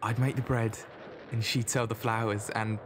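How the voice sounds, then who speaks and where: A man's voice: flat delivery, normal speed, natural voice. A man playing a frightened, wounded eighteen-year-old private in a First World War audio drama, pleading and deferential. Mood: wistful. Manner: pleading, deferential to his officer. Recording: wartime scene, loud artillery rumble behind. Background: artillery fire and battlefield ambience.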